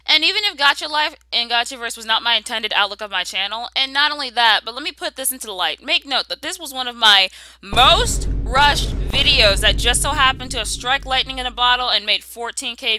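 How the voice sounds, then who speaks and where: A woman's voice: on the high side, bright, forceful tone, no room sound, low-quality recording. A woman answering viewer questions in a casual, sarcastic, slangy way, often exclaiming. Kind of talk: casual sassy Q and A commentary.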